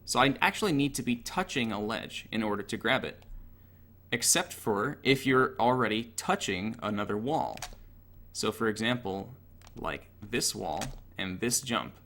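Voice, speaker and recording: A man's voice: on the low side, expressive, moderate pace, pushed and bright. A man giving an informal, technical tutorial over gameplay in a matter-of-fact, analytical way. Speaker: gaming tutorial narrator.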